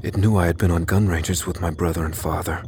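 An actor playing a man recounting a tense showdown with a killer, in measured, suspenseful first-person narration. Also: deep voice, steady tone, darkish tone, a little hiss or hum. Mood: tense, uneasy. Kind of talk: scripted dramatic audio fiction narration.

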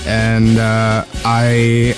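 A man's voice: on the low side, completely flat delivery, extremely slow. A man co-hosting a podcast in casual, joking chat. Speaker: podcast co-host. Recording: prominent music behind the voice. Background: instrumental music.

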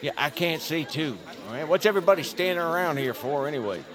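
A man's voice: a little high, expressive, quick pace, close to an everyday voice. A man playing a clueless, easygoing bystander in a crowd in an audio drama. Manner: dopey, easygoing.

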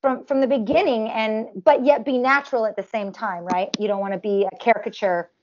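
A woman's voice: on the high side, fairly even intonation, a little fast, highs cut off, noisy background. A woman with a nasal voice, a performer talking casually in an interview, full of restarts and fillers. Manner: talks in long runs with many restarts and fillers. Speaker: tribute performer guest.

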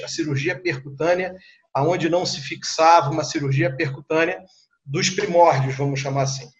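Middle-aged male guest expert, Rio accent, slightly raised pitch, conversational explainer with repeated words. Dull lo-fi recording. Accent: Rio de Janeiro Brazilian Portuguese. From Rio de Janeiro, Brazil. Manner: conversational, repeats words and adds tag questions. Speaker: guest surgeon. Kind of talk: explanatory recounting in an interview.

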